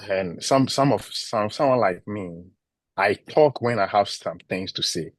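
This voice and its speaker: A man from Ghana with a Ghanaian English accent, giving measured interview answers about teaching. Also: on the low side, no echo. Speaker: international PhD student and teacher.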